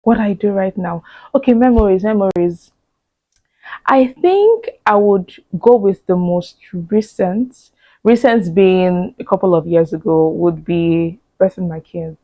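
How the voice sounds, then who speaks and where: A woman's voice: fairly low pitch, no echo, lo-fi sound. A woman in her thirties chatting casually as she answers audience questions, laughing often and thinking aloud. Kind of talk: casual chat.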